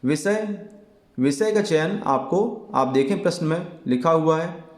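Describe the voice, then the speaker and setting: A man teaching an exam lesson in a deliberate, explanatory way, repeating key phrases as he goes. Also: a little high, lively intonation. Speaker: Hindi teacher. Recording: echoey, reverberant room.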